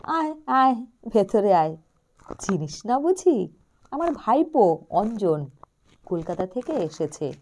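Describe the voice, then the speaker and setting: A woman's voice: medium pitch, big pitch swings, takes it slowly, long pauses, darkish tone, slight background noise. A woman voicing an older widowed aunt who dotes on her nephew and neighbour girl, speaking in an affectionate, coaxing way. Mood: doting. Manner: affectionate, coaxing storybook dialogue.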